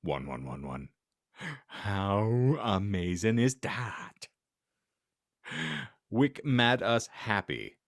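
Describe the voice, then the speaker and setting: Male podcast host, deep and unhurried, reading awful fan fiction aloud with weary deadpan. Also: no room sound, studio quality.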